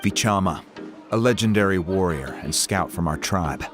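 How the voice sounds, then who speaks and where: Helpful tribesman, deep low voice, calm, explanatory, measured, video game dialogue, music behind.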